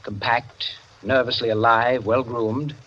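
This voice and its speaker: A man narrating an old-time radio suspense story in a measured, literary storytelling manner. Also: medium pitch, little pitch movement, a bit bright, slight background noise. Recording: dull vintage radio recording. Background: music.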